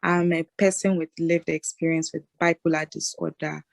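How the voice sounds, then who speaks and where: Low-voiced woman in her twenties from Ghana. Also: flat delivery, moderate pace, gravelly, no room sound. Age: 20s. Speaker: guest, mental health advocate. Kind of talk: casual interview conversation.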